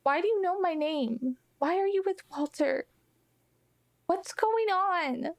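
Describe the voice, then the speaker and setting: High-voiced, suspicious girl played by a woman. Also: lively intonation, slow pace, no room sound, full character voice. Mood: hurt, suspicious. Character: hurt, suspicious girl confronting a stranger. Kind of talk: tabletop roleplay.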